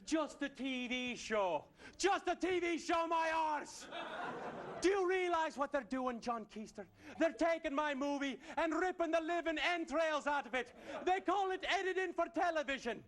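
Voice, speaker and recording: An actor playing a hot-tempered Scottish warrior rebel in a comedy sketch, declaiming theatrically with a Scottish accent. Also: male voice, very high voice, a bit bright. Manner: declamatory, theatrical, swinging into bellows. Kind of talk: mock-heroic rallying speech in live sketch comedy.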